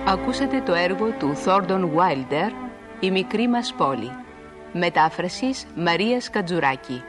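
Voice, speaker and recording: A woman radio announcer reading scripted credits in a formal, measured style. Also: on the low side. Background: music.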